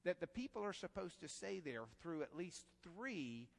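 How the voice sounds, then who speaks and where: A man's voice: high-pitched, very animated, moderate pace, gravelly. A man preaching an expository sermon in a conversational, folksy way that builds to emphatic bursts, in a church sanctuary. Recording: long hall reverb.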